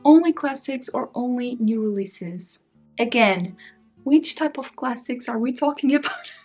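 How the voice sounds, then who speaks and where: A woman's voice: a little high, expressive, unhurried, a little mellow, husky. A young woman, chatting casually to camera as a book vlogger, musing indecisively and laughing often. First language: Portuguese. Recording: faint guitar music behind.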